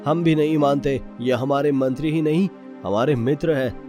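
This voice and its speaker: A man's voice: on the high side, moderate pace, natural voice. A man voicing a trusting, ageing king who feels betrayed, in a formal, dramatic cartoon-story manner. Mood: troubled, loyal. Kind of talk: dramatic Hindi moral-story cartoon dialogue. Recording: loud music bed behind voice.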